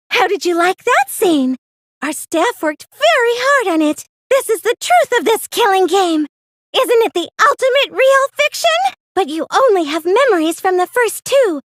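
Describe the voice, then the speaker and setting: Game villain: young cosplayer mastermind, piercingly high, very animated, taunting, dry close recording. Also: female voice, dry recording, exaggerated voice. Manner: theatrical, gloating, taunting. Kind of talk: anime game voice acting.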